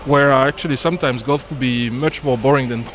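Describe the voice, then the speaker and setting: A man's voice: medium pitch, steady tone, fairly quick. A man from a French Caribbean island chatting as a guest commentator, with lots of "yeah, yeah" fillers. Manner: many fillers and repeated yeahs. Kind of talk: casual chat.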